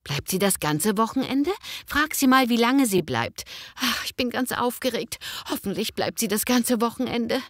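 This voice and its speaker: A woman's voice: fairly high pitch, expressive, moderate pace, hardly any pauses, no echo, lightly acted. A woman acts out a fussy, worrying mother in a language-learning dialogue. Her delivery swings animatedly as she insists and nags, recorded close and dry. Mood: eager, excited. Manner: insistent, nagging. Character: fussy, excited, worrying mother expecting her grown daughter.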